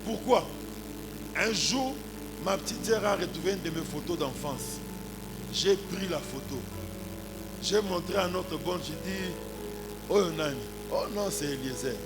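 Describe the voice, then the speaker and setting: A man's voice: sits high, measured pace, long pauses, loud music behind the voice. A man in his forties with a Congolese accent preaching a sermon as a pastor, punctuating his points with exclamations and rhetorical questions. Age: 40s. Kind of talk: animated sermon with anecdotes and congregational address.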